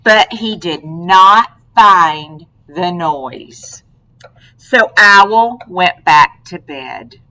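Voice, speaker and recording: Very slow, animated woman reading aloud. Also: fairly low pitch, low-quality recording. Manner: expressive, prompting with questions. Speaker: read-aloud storyteller to a young child. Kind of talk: children's picture book read-aloud.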